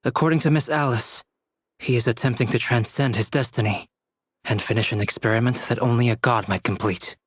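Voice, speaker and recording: A man's voice: medium pitch, steady tone, a little fast, breathy edge, dry recording, clean studio sound, close to an everyday voice. An actor voicing a thoughtful, polite man who speaks in a reflective, formal way.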